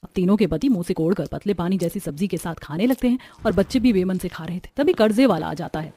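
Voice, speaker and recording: A woman's voice: on the low side, fast, warm tone. A woman narrator reading a scripted cartoon story. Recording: music behind the voice.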